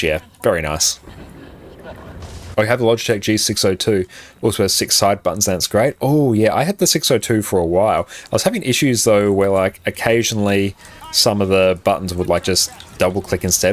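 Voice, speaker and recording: Casual male streamer, very deep voice, easygoing filler-heavy chat, close compressed mic, faint hiss behind. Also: quick pace. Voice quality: very low voice. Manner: relaxed, rambling, many "like" fillers. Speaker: game streamer. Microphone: condenser mic on an arm, compressed.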